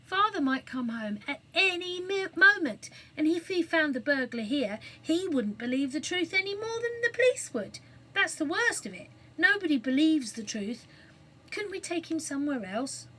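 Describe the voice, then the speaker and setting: A woman's voice: sits high, very animated, moderate pace, pushed and bright, pitched above the performer's own voice, exaggerated voice, rough recording. A woman reading aloud, voicing a stern, earnest young boy who talks in whispers. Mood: fretful.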